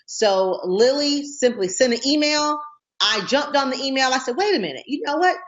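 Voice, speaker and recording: A woman hosting a casual interview talk show, bubbly and excitable, often breaking into laughter. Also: high voice, normal speed. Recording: dull lo-fi sound.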